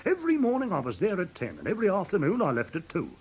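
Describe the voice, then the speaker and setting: An actor playing a fussy, aggrieved, simple-minded London shopkeeper with an English accent in a radio drama, halting and deferential as he talks. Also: high-pitched, huge pitch swings, darkish tone, slightly rough, exaggerated voice. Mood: plaintive. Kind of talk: classic British radio drama acting.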